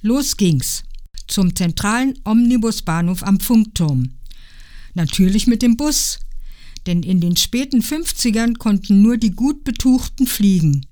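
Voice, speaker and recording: Older woman calmly reading her memoir. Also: medium pitch, unhurried. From Berlin, Germany. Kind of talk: reading her own written story.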